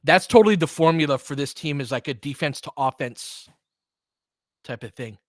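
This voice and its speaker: A man's voice: a little high, normal speed, dry recording, clean studio sound. A man giving live basketball play-by-play with informal, slangy fan reactions mixed into casual chat. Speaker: host of a fan play-by-play stream.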